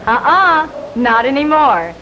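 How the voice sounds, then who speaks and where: A woman's voice: high-pitched, big pitch swings, unhurried, pushed and bright. A woman delivering a scripted 1950s television advertising testimonial as a commercial spokeswoman. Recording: muffled, hissy 1950s television soundtrack.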